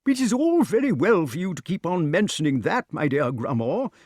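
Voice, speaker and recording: A man voicing a dithering, excitable, petulant elderly king in a storybook, with a flustered, repetitive manner. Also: high voice, slow pace, no echo, clean studio sound, voice pushed up, heavily acted. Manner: flustered, repetitive, peppered with interjections.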